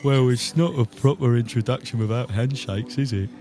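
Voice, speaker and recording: A man playing a gentle, modest sidekick to a crook, mild and literal, in an improvised tabletop game. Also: mid-range voice, moderate pace, faint music behind, close to an everyday voice.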